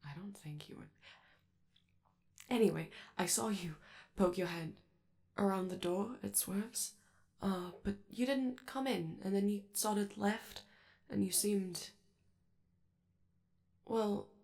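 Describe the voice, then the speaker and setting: A woman playing a friendly, awkward young male robot in a soft ASMR roleplay, with a creaky voice and a hesitant, rambling, apologetic delivery. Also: mid-range voice, slow pace, pauses often. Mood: nervous, reassuring.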